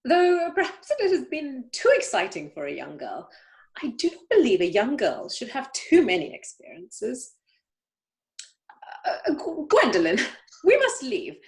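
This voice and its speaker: A woman's voice: on the high side, wildly swooping pitch, unhurried, a little mellow, heavily acted. A woman playing a snobbish, imperious older upper-class aunt in an amateur play reading, haughty and emphatic, stumbling now and then. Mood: brisk, disapproving. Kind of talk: amateur play read-through.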